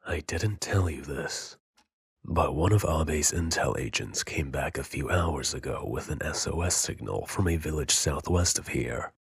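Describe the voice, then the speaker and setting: A seasoned soldier from an anime audio drama, acted by a man. The voice is extremely deep and husky, delivered slowly and dryly close. He sounds gruff, grave and loyal. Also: no echo, full character voice. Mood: grave, urgent.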